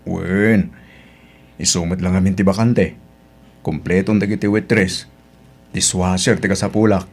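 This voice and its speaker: A man's voice: low voice, fairly even intonation, measured pace. A man acting a helpful middle-aged uncle and restaurant boss in an audio drama, with an Ilocano Filipino accent and a matter-of-fact, advising manner.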